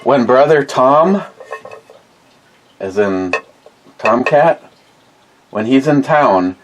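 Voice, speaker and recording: A man's voice: mid-range voice, lively intonation, very slow, noisy background. A man co-hosting a podcast, making dry wisecracks and reading out viewer comments. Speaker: podcast co-host. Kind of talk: casual chat, reading comments.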